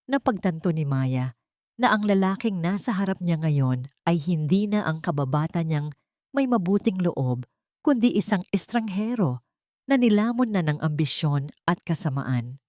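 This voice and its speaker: A woman's voice: fairly low pitch, darkish tone, dry recording, studio quality. A woman reading a dramatic scripted story as narrator.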